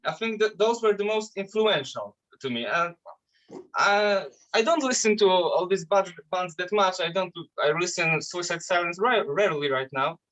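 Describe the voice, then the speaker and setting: Polish-accented metal vocalist, casual and higher-voiced. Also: male voice, lively intonation, fairly bright tone, poor audio. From Poland. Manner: loose, swearing, halting with restarts. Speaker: metal band vocalist, guest. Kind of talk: casual interview chat.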